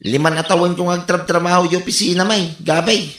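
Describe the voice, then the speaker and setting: A man's voice: high-pitched, fairly even intonation, brisk, fairly bright tone. An actor playing an exasperated working man pestered by neighbours in an Ilocano radio comedy, grumbling and exclaiming with an Ilocano accent. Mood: put-upon. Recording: roomy echo.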